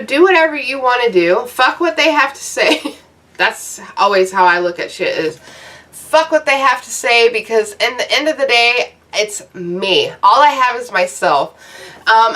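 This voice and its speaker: A woman in her thirties rants to her viewers, blunt and profane, drifting loosely from one point to the next. Her voice is bright and a little high, and it sounds like she sits back from the mic. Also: animated, a little slow, distant mic. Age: 30s. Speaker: YouTuber. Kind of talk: casual advice rant to camera.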